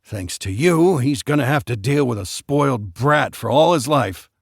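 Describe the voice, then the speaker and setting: A man voicing a gruff, sneering middle-aged father who is dismissive. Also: mid-range voice, lively intonation, normal speed, no echo, studio quality.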